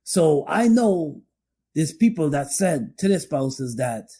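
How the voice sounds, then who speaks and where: A man co-hosting a podcast, discussing relationships conversationally in a halting way, often repeating his phrases. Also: a little high, big pitch swings, warm tone, husky. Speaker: podcast co-host.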